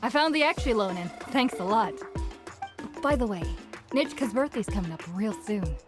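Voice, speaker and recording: A woman's voice: mid-range voice, animated, normal speed, lightly acted. An actress playing a forgetful young woman villager in a video game, chatty and a little flustered. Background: game music.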